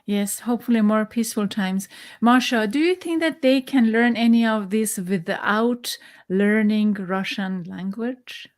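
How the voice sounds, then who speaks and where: A woman podcast host with a non-native English accent, interviewing in a halting, conversational way as she searches for words. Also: medium pitch.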